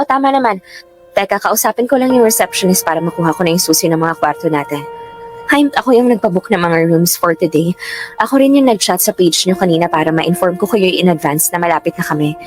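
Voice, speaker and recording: An actress playing a guarded, sensitive young Filipino woman in a radio drama, emphatic and quick to scold. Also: on the low side, lightly acted. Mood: friendly, cautioning. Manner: emphatic, nagging. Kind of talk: Tagalog radio drama acting. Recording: music bed under dialogue.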